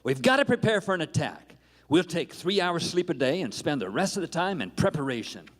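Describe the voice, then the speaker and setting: A man playing an excitable, loyal ranch hand in a live Western radio play, with eager folksy talk in an echoey hall. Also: fairly high pitch, fairly quick. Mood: earnest. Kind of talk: live Old West radio play acting.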